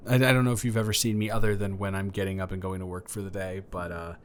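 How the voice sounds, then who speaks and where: Rambling, low-voiced young man next door, acted by a man. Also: level tone, a little hiss or hum, close to an everyday voice. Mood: relaxed, rambling. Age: young adult. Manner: rambling, halting, self-correcting, awkwardly casual. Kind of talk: improvised romantic roleplay audio, casual chat.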